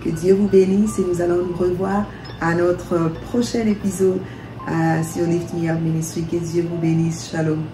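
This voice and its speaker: A woman's voice: fairly low pitch, flat delivery, normal speed. A woman from DR Congo, a minister, leading prayer with fervent, repetitive declarations. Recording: echoey room with soft music.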